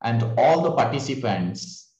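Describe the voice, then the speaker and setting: A man giving a slow, formal welcome speech. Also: mid-range voice, big pitch swings, darkish tone. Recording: roomy, dull lo-fi call audio.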